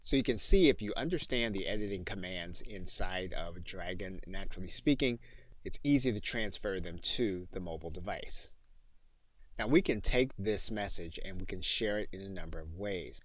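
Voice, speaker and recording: A man with a low voice narrates a software tutorial as its instructor. He explains methodically, one step at a time. The sound is dry, with very little room in it. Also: expressive, moderate pace, no room sound. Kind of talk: instructional screen-demo narration with spoken dictation commands.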